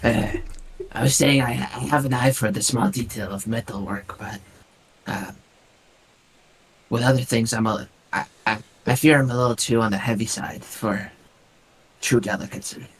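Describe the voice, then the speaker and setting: A man with a raspy voice playing in a tabletop game, talking hesitantly with many likes and ums. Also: mid-range voice, normal speed, pauses often, poor audio. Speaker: player. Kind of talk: casual tabletop game chat.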